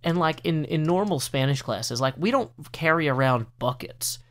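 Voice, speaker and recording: A man's voice: a little high, lively intonation, normal speed, a bit bright. A man from the United States hosting a casual gameplay commentary over a desk mic, talking earnestly and rambling with many fillers and restarts. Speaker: let's play host.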